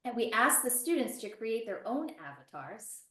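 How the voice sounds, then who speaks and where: A woman teacher, a guest on a webinar, telling an animated story about a class project with many restarts. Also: fairly high pitch, moderate pace. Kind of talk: casual webinar chat. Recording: roomy and distant from the mic.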